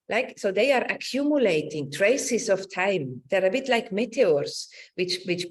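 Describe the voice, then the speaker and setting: A middle-aged woman giving an academic lecture, thoughtful in phrasing and partly reading from notes. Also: medium pitch. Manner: halting, searching delivery with repeated words and tag "no?".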